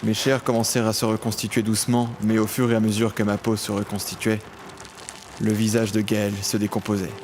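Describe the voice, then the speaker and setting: A man's voice: fairly low pitch, level tone, a little fast, a little mellow, a little hiss or hum, lightly acted. A man playing a bitter, sardonic young criminal in an audio drama, acting and narrating in intense, literary phrasing. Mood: grim narration. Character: bitter, sardonic young male criminal cursed with healing flesh.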